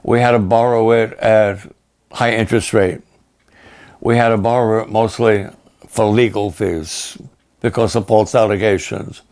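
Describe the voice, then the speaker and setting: Slow, raspy-voiced older male pastor. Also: on the low side, fairly even intonation, darkish tone. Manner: slow, halting, plainspoken, repeats himself. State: hoarse after illness and a long program. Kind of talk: slow personal update to supporters.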